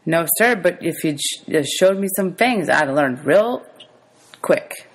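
A woman voicing a quick-witted young boy in a storybook, polite, guarded and deferential. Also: lowish voice, lively intonation, slightly rough, heavily acted, low-quality recording. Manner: deferential, short careful answers.

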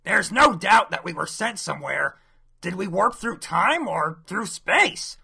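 A man voicing a brash, hot-headed crocodile gang leader with a gravelly, bossy, blustering delivery for a cartoon. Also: high-pitched, animated, unhurried, bright, forceful tone, pitched above the performer's own voice, full character voice. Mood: gruff, matter-of-fact.

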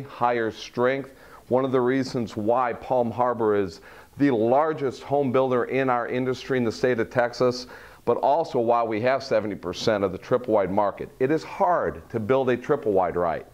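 A middle-aged man leading a walkthrough tour of a model home, listing its features in a salesy way. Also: medium pitch. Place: furnished model home, indoors.

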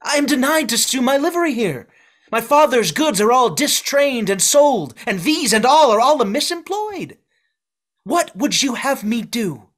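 A man in a video-call Shakespeare reading, playing an exiled duke who forcefully claims his inheritance. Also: very high voice, big pitch swings, normal speed, full character voice. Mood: aggrieved. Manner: forceful declamation. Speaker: actor. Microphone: video call webcam.

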